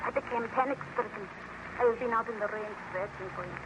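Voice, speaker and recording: An actress playing a guilt-ridden, frantic nursemaid, pleading melodramatically in 1930s radio acting style. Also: female voice, fairly high pitch, quick pace, natural voice. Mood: panicked. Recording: very noisy old radio recording.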